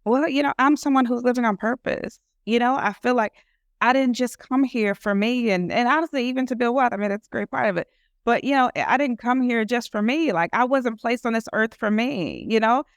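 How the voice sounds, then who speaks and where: A woman's voice: on the high side, a little fast, no room sound, studio quality. A woman guest in a casual interview who talks earnestly about her work, rambling and filling her speech with "you know". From United States. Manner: earnest, rambling with many fillers. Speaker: guest entrepreneur.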